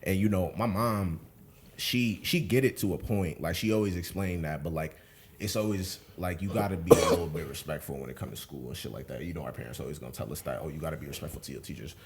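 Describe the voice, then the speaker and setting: A man's voice: sits low, fast talker, heavy background noise. A man, chatting casually as a podcast guest in a laid-back, rambling way. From Buffalo, New York, USA. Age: 20s. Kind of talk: casual chat.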